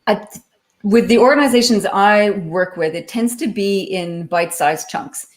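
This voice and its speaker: A woman's voice: medium pitch, unhurried. A woman in a live online interview, explaining her leadership consulting work in an articulate, explanatory way. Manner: articulate, explanatory, lightly laughing. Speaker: guest, leadership author and consultant.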